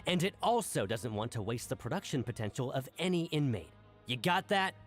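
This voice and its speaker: An actor playing a gruff, bullying prison foreman in a video game, stern, barking and condescending. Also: mid-range voice, very animated, fairly quick, soft background music, close to an everyday voice. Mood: stern, threatening. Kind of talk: animated video game voice acting.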